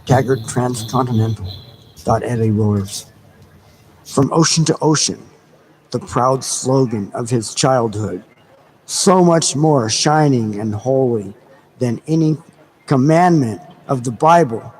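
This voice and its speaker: A man reading a novel aloud in a dramatic, deliberate, measured style with pauses. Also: medium pitch, a little mellow. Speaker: audiobook reader. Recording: noisy recording with background ambience. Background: background ambience sounds.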